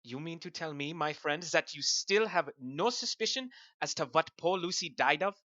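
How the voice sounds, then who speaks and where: A man's voice: fairly high pitch, normal speed, pushed and bright. A man playing a grave, commanding older professor in a radio drama, solemn and emphatic. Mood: challenging. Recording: roomy, slightly dull, faint music.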